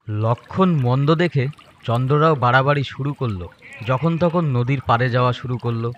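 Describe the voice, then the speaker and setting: A man's voice: medium pitch, fairly bright tone, a little hiss or hum. A man narrating a scripted story as a storyteller.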